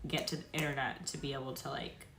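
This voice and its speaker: A woman's voice: extremely low pitch, flat delivery, fast, fairly bright tone, slight background noise, rough recording. A woman hosting a casual read-aloud stream, informal and sweary, stumbling over longer words and dropping in chatty asides. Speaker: host reading aloud to viewers.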